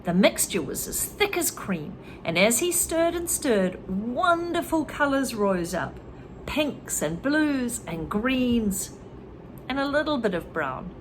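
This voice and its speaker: Woman reading a kids book, super animated voice, explains words as she goes, faint hum behind. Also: fairly high pitch, slow, low-quality recording. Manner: lively, explains words to learners. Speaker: reader and English teacher. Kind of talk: children's story read aloud with explanations.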